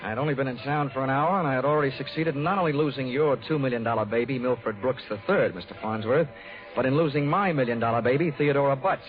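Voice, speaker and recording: A man's voice: medium pitch, quick pace, lightly acted. Man acting a tinny old-radio wisecracking private eye. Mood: wry, dry. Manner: dry, wry, clipped quips. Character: wisecracking hardboiled male private investigator narrating his case. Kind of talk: 1940s radio detective acting. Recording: narrow, tinny 1940s radio recording with music.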